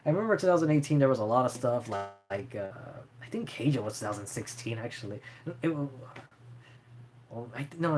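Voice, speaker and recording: A man's voice: medium pitch, darkish tone. A man chatting casually and unscripted as a streamer answering viewer questions, in a rambling, hesitant way.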